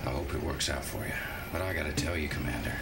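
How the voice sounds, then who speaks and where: A man's voice: a bass voice, fast talker. A man voicing an uneasy, blunt male army officer in a game, talking in a casual, slangy, candid way. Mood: disillusioned. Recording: distant mic, loud background noise.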